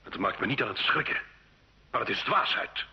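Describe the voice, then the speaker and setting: A very raspy, haughty baron. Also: male voice, fairly low pitch, expressive, moderate pace, sharp tone, full character voice. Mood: dismissive, irritated. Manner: imperious, dismissive. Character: haughty, domineering rich French baron, curt husband. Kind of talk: old Dutch radio drama acting. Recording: dull old radio play recording.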